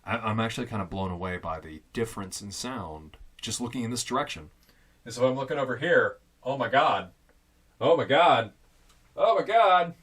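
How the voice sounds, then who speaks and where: A young man talking casually and loudly into a fixed studio mic in a small foam-lined closet, rambling with fillers and amused asides. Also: medium pitch, expressive, moderate pace, breathy edge. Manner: loud, chatty and self-amused, with many fillers and restarts. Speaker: vlogger testing a home studio. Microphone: fixed studio condenser mic on a stand.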